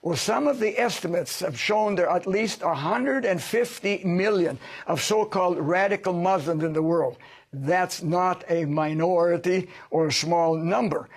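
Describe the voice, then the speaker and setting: A man's voice: sits high, normal speed. An older man answering interview questions as a sociologist, emphatic and lecturing, asking himself rhetorical questions.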